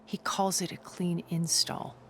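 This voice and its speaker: An actress playing a poised, persuasive immortal older woman with measured, elegant phrasing in a cinematic video game. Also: fairly low pitch, flat delivery, fairly bright tone, slight background noise. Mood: resolute.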